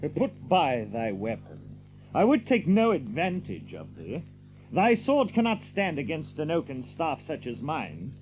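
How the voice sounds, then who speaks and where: An actor playing a bold, hot-tempered outlaw leader in an archaic audio drama, with a blustering, declamatory manner. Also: male voice, fairly high pitch, wildly swooping pitch, a little slow, darkish tone, slight background noise, exaggerated voice, rough recording. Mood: commanding.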